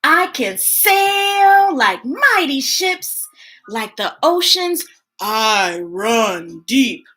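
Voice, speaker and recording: A woman hosting a children's story time, reading picture books aloud expressively and chatting playfully with viewers in a gushing, animated way. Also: high voice, drawn-out words.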